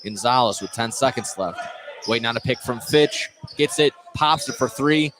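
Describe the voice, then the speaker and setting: A man's voice: medium pitch, normal speed, a bit bright. A man doing live play-by-play basketball commentary for radio, narrating in stop-start phrases and repeating words as he follows the action. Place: indoor basketball gym. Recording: clear gym noise with bouncing basketballs.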